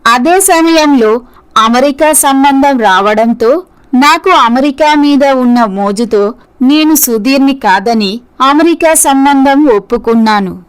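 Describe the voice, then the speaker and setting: A woman performs a penitent young woman in a moral-story drama. The voice is very high and the delivery earnest, carrying apology and regret as she owns up to her wrongdoing. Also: slow, clear, resonant tone. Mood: remorseful. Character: remorseful young woman confessing her past mistake. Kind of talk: scripted moral-story voice acting.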